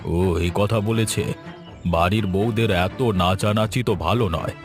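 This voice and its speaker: A man's voice: lowish voice, steady tone, moderate pace, over music, lightly acted. A man voicing a righteous, helpful village headman in a fairy-tale cartoon, assured and authoritative. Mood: indignant, assured.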